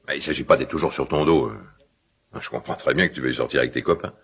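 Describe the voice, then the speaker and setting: An actor playing a middle-aged father in a radio drama, guilt-ridden and awkwardly tender, speaking hesitantly in a gravelly smoker's voice. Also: a bass voice, a bit bright. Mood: awkward, conciliatory. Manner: hesitant, halting, confiding. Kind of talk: naturalistic French radio drama dialogue.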